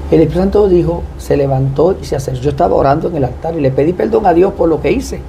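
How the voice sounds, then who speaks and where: A man with a Puerto Rican Spanish accent, a pastor speaking as a guest in a devout, deliberate testimony style. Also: a little high, gravelly, dark tone, slight background noise. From Puerto Rico. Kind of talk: personal testimony and prayer.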